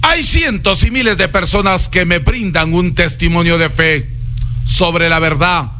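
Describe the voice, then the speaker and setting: A Peruvian man hosting his own radio programme as a folk healer, addressing listeners in an emphatic sales pitch built from incantatory lists. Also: measured pace, bright, forceful tone, slight background noise. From Peru.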